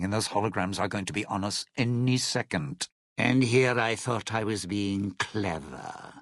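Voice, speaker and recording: Casting: a bitter, sardonic video game schemer, gravelly and slow, with theatrical swings in pitch. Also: male voice, no echo, studio quality, full character voice. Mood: impatient. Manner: sly, sardonic, theatrical delivery. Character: scheming, bitter, sardonic ghoul crook from a casino heist. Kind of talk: video game character dialogue.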